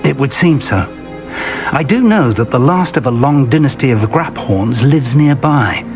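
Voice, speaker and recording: An actor playing an earnest middle-aged wizard professor and mentor in a video game, speaking in a deliberate, articulate, scholarly way. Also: male voice, medium pitch, lively intonation, natural voice. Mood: earnest, guiding. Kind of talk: dramatic video game voice acting. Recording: music playing behind the voice. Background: game music.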